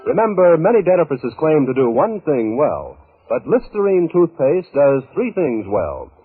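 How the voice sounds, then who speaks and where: A husky man reads a radio commercial as an announcer, his pitch swinging in an animated, emphatic sales pitch. It sounds like a narrow, muffled old broadcast recording. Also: normal speed. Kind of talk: old-time radio advertisement read.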